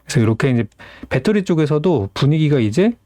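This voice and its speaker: A man's voice: on the high side, sing-song, fast talker, mellow, no room sound, studio quality. A man hosting as a stock market analyst, explaining things in an informal, conversational commentary style.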